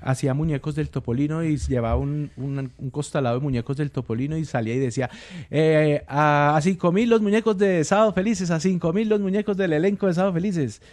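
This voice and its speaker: A man's voice: a little high, brisk, darkish tone. An older Colombian man, a comedian, reminiscing casually on a radio show.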